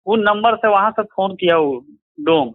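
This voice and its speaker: बिहार का एक आदमी, बिहारी लहजे में, फ़ोन इंटरव्यू में घटनाएँ सुनाता हुआ, अटक-अटक कर बोलचाल के अंदाज़ में।. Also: male voice, sits high, unhurried, dry recording. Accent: Bihari. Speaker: interviewee, relative of a crime victim. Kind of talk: recounting events in a phone interview. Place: phone call. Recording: tinny, choppy phone line.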